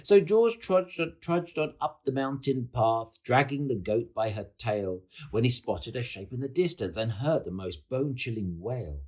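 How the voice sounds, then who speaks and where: A man telling a children's bedtime story from a picture book. His voice is mid-pitched and husky, and it rises and falls dramatically as he reads. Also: lively intonation, unhurried, hardly any pauses, a little mellow.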